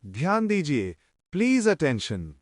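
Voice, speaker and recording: A man plays a big-hearted, tipsy patriarch and businessman in a cartoon drama. He declaims slowly and emphatically, with pitch swinging widely, in a very dry recording. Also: huge pitch swings, dry recording. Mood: announcing. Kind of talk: animated story drama acting, speechmaking.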